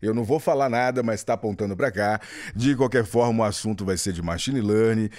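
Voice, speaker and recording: Deep-voiced chatty Brazilian podcast host. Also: male voice, a little fast, a little mellow. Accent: Brazilian Portuguese. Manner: chatty, jokey, often interrupts guests. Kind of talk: casual podcast chat.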